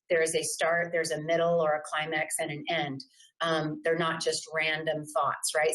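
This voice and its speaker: A middle-aged woman from the American South presenting a live webinar, loud and enthusiastic, talking in a conversational way and often checking in with "right?". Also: fairly low pitch, rough recording. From Southern United States. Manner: loud voice that carries.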